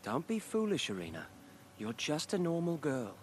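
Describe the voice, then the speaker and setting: An actor playing a courteous young nobleman in a fantasy video game, formal and measured in his delivery. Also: on the high side, wildly swooping pitch, a little hiss or hum. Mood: gently firm. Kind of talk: video game cutscene acting.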